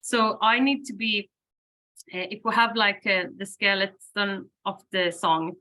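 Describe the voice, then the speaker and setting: A woman whose first language is Swedish, a band singer and lyricist, answering interview questions thoughtfully, with many pauses and fillers and frequent laughs. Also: medium pitch, steady tone, sharp tone. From Sweden.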